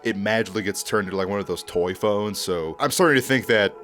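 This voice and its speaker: A man's voice: on the low side, fast, fairly bright tone, soft background music. A man hosting a commentary video, casually recapping horror in a conversational, joking way.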